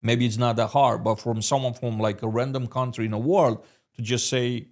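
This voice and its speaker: A man's voice: mid-range voice, fairly even intonation, normal speed, darkish tone. A man whose first language is Romanian, hosting a podcast and asking probing questions with hesitant restarts. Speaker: podcast host. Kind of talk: interview questions.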